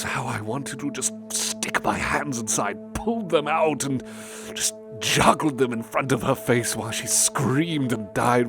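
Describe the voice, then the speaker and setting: Prominent music plays under an unhurried, aristocratic serial killer in a horror audio drama. He confesses with gloating, theatrical relish, lingering on words and sinking toward a breathy hush. His pitch sits in a middle male register. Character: refined, sadistic serial-killer nobleman confessing his crimes.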